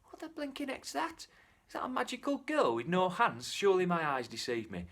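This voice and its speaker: A nervous orchard keeper pleads his case in a raised, anxious voice. A man plays him with a lively lift above his normal register. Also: a little high, fairly quick, voice pushed up. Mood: pleading.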